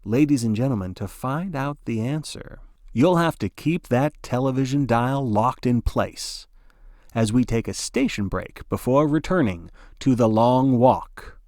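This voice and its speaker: A man's voice: mid-range voice, expressive, measured pace, a little mellow, no echo, clean studio sound. A man narrating like a sports broadcaster, giving dramatic play-by-play in a theatrical, folksy announcer style.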